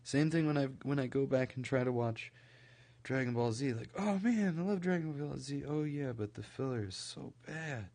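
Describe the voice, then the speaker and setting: A young man from Idaho, rambling casually on a late-night stream, full of "like" fillers, his voice a little scratchy from a recent cold and kept quieter than usual. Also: medium pitch, animated, moderate pace, vocal fry. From Idaho, USA. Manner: rambling, many "like" fillers and restarts. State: slightly scratchy from recent illness.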